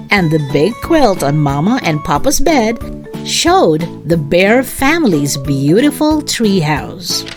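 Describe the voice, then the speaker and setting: A woman narrating a children's story in an expressive way, as a read-aloud host for young listeners. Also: medium pitch. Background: music.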